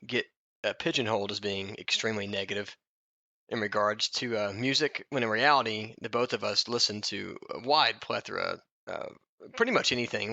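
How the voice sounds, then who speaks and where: A man's voice: lowish voice, vocal fry, bright, forceful tone, no echo, lo-fi sound. A man in his thirties from Alabama, co-hosting a podcast in a casual, conversational way. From Alabama, United States. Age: 30s. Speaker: podcast co-host.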